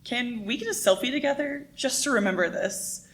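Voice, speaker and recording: High, excitable young woman. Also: normal speed, slight background noise. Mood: eager, sentimental. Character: excitable, sentimental young female radio station co-worker. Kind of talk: live radio play acting.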